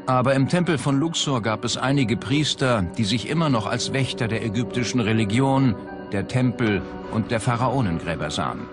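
Guy narrating a documentary, scripted read, medium pitch, loud music behind him. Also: normal speed. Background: prominent background music.

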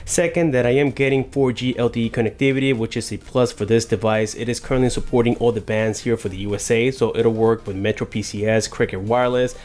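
A man with a mid-pitched voice presents a tech review in a casual unboxing style. He explains each point with enthusiasm and addresses viewers directly and informally. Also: fairly even intonation, quick pace, few pauses, a little mellow.

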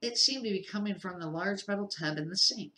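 Middle aged lady reading a kids book out loud, careful amateur read, medium pitch, roomy echo. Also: brisk. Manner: careful, stumbling read-aloud. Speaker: amateur storybook reader. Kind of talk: reading a children's novel aloud. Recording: echoey, roomy sound.

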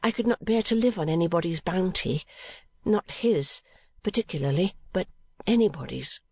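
A woman voicing an earnest, timid young woman who speaks softly and haltingly. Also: on the low side, lively intonation, breathy edge, no echo, voice pushed up.

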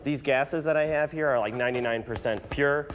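A man's voice: mid-range voice, a little fast. A man in his thirties giving a casual, explanatory science demonstration on live television. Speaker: science educator, guest demonstrator. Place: TV news studio. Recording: reverberant studio with faint noise.

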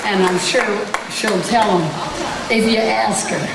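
An older woman, a singer-songwriter, chatting warmly and rambling between songs at a wedding, her voice hoarse. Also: medium pitch, fairly even intonation, recorded from a distance, over a noisy crowd, heavy background noise. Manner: rambling, fond, unhurried. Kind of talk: casual stage chat between songs. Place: large venue stage, live band. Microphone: stage vocal mic on a stand. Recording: roomy live sound heard from afar. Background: band playing.

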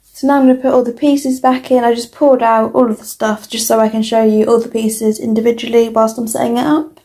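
A young woman with a British accent giving a casual, informal toy review as an unhurried walkthrough. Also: a little high, flat delivery, mellow.

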